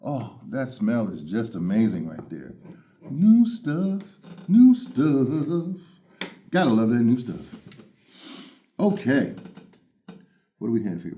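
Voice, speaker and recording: Man, big loud voice, playful unboxing banter, low pitch, mellow warm tone. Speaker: headphone reviewer. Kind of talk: casual unboxing first impressions.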